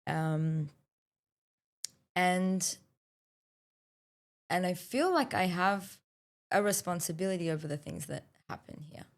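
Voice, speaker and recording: Low-voiced woman, halting and thoughtful. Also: expressive. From South Africa, raised in Australia. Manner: thoughtful, halting, many fillers and restarts. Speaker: podcast co-host. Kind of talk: casual podcast conversation.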